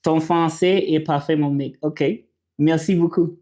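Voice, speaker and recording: A man whose first language is English, hosting a casual livestream and reading viewer comments, talking haltingly with many fillers and restarts. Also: on the high side, steady tone, mellow. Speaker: livestream host.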